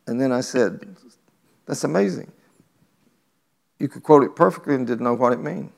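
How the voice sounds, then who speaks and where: Gravelly-voiced male preacher. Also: medium pitch, normal speed, a little mellow. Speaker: pastor. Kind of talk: sermon.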